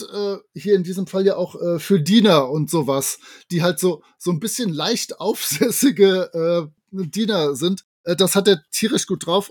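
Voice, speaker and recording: Male podcast co-host, voice on the high side, enthusiastic and chatty, informal fan talk. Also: darkish tone. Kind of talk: casual fan podcast chat about audio dramas.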